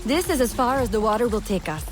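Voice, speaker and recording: A woman playing a fiery, sharp-tongued bayou smuggler in a video game, brash and sardonic. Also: on the high side, expressive, quick pace, over music, lightly acted. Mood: wry, cocky. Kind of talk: video game character acting.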